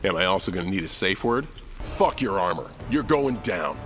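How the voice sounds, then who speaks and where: A brash, crude hero, swaggers in a lowish, animated voice. The game lines are flirty and belligerent, with music faintly behind. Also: male voice, moderate pace. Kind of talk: video game fight intro dialogue.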